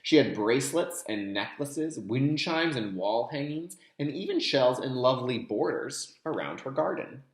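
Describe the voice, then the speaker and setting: Man reading aloud to children, lively pitch. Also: a little slow, few pauses, echoey room, a little hiss or hum. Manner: addresses young listeners directly, repeats key terms. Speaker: kindergarten teacher reading a picture book aloud. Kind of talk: instructional read-aloud with explanatory asides.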